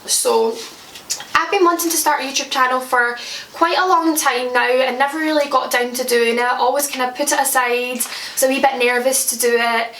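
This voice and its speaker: Chatty high-voiced Scottish woman vlogging. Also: steady tone, normal speed, fairly bright tone, roomy sound, far from the mic, slight background noise. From Scotland. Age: 20s. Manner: chatty, self-deprecating, laughs at her own slips. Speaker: makeup vlogger. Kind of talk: casual chat to camera. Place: at home, indoors.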